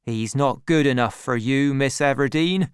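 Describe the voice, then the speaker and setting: A reader voicing an earnest, worried farmer, a lovesick man who speaks in a measured, pleading way. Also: mid-range voice, smooth, no echo, studio quality, lightly acted. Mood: worried, sad.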